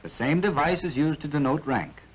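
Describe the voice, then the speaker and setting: Vintage American training film narrator, lively pitch. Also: male voice, moderate pace, slightly rough. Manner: clipped, didactic with wry asides. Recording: dull, band-limited vintage film soundtrack.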